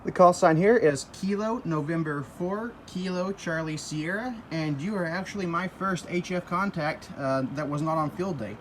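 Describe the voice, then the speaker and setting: A man's voice: high voice, moderate pace, few pauses, noisy background. A man working as an amateur radio operator, chatting casually and giving call signs outdoors on a rooftop. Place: outdoors on an apartment building roof.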